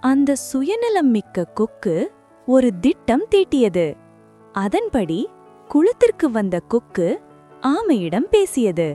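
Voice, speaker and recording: Slow, highly animated woman storyteller. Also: faint music behind. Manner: deliberate, short phrases. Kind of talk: scripted children's story narration.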